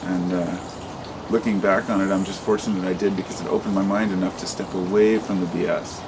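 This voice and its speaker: Man in his late thirties, deep low voice, rambling impassioned vlog rant, noisy background with passing traffic. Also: level tone, fairly quick. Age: 30s. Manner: rambling and impassioned, with profanity and restarts. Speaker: vlogger. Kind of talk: unscripted monologue to camera.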